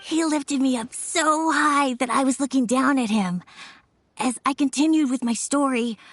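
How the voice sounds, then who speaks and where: A woman's voice: sits high, normal speed, no room sound, clean studio sound. A woman voicing an earnest, imaginative young boy who tells stories in a video game. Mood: wistful, confiding. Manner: earnest, childlike storytelling. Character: earnest, imaginative young boy storyteller, devoted to his father. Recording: music behind the voice. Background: game music.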